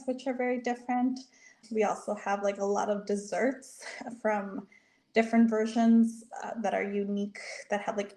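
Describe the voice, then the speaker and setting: A woman's voice: medium pitch, darkish tone. Chatty woman, native Spanish speaker. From Bolivia. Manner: chatty, explains with little quoted asides. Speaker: podcast guest, dietitian. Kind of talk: casual conversational interview.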